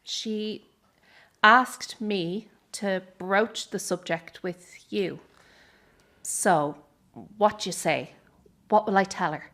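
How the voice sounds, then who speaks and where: A woman acts a young Irish woman from a circus family in a radio play. She has a mid-pitched voice and talks as a caring granddaughter who can be blunt. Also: slow pace, pauses often, fairly bright tone. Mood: careful. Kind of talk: radio drama acting.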